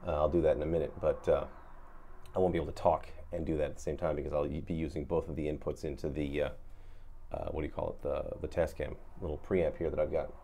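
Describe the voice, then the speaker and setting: A man's voice: rumbling low, little pitch movement, fast, warm tone. A man giving an off-the-cuff, casual guitar effects tutorial, laid-back and rambling as he searches for words. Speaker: guitar effects demonstrator, host.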